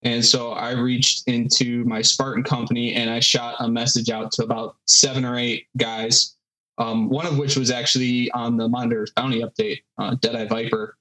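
Thirties male podcaster, bright, middle pitch, halting and self-deprecating. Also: little pitch movement, rough recording. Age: 30s. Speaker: solo podcast host, guest. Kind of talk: casual podcast chat.

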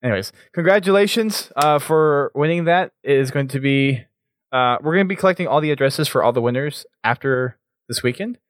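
A man's voice: on the high side, fairly quick, no echo, clean studio sound. A man hosting a charity live stream, upbeat as he announces giveaway winners and sponsors. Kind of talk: casual stream chat.

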